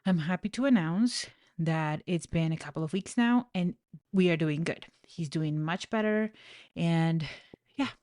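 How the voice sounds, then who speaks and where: A woman's voice: fairly low pitch, unhurried, no echo. Earnest Venezuelan-born woman, dry close sound. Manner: earnest, heartfelt, with soft laughs. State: pregnant. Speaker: podcast host, life coach. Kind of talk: personal reflective podcast talk.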